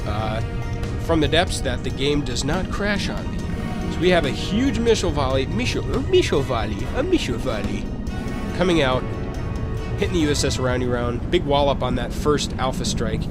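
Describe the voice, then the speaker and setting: Let's-play host, man, slightly higher voice, stuffed-up from a cold, loose rambling commentary over loud game music. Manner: rambling, chatty, with many fillers and restarts. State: congested from a cold. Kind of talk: casual gameplay commentary. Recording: game music playing under the voice.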